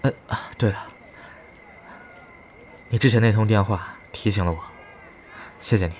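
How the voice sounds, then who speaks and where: Nervous young guy in horror audio drama man acting, lowish voice, hesitant, bit of background noise. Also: normal speed, a little mellow, natural voice. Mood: uneasy. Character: earnest, anxious young man on an eerie mission.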